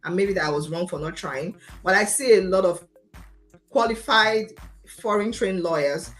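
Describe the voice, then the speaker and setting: A woman's voice: mid-range voice, moderate pace, faint music behind. A Nigerian woman with a confident, emphatic, passionate way of talking, telling her own story as an interview guest. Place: remote video call.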